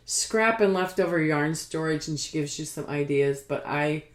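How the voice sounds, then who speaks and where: A woman hosting a casual craft podcast, rambling haltingly and sometimes trailing off as if talking to herself. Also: sits low. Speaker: podcast host showing knitting and spinning.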